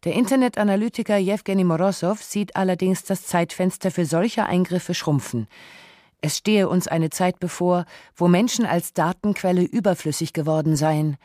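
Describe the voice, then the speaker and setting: Mellow, close-up documentary narrator. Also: medium pitch, no room sound. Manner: measured, essayistic, rhetorical questions.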